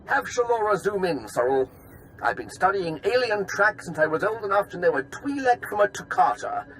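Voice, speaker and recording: A male actor playing an enthusiastic, scholarly military lieutenant in a video game, chatty, expressive and polite. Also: sits high, moderate pace, rough recording. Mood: excited expertise.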